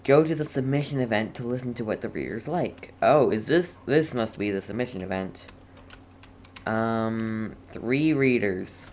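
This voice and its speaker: A woman's voice: a bass voice, a little mellow, a little hiss or hum. A woman streaming a video game, giving casual, laid-back commentary and reacting aloud in brief remarks.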